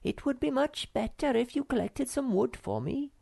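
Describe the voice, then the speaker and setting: A woman reading aloud and voicing a vain, sweet young female creature with a high, breezy manner. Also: animated, normal speed, creaky, warm tone, husky, dry recording, clean studio sound, heavily acted. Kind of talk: audiobook character voice.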